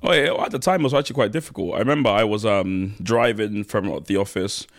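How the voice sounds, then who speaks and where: A young man, raised there after coming from Nigeria, chatting as a podcast co-host, thinking aloud with restarts and sudden rises in pitch when he makes a point. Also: quick pace, no echo, clean studio sound. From Nigeria, raised in South East London. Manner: thoughtful, restarts often, pitch jumps when making a point. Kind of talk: casual chat.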